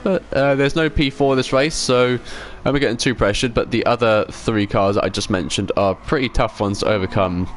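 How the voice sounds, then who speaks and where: A man's voice: medium pitch, normal speed, hardly any pauses, a little hiss or hum. A man hosting a video game livestream with casual, chatty commentary, rambling along and correcting himself as he goes. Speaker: livestream host.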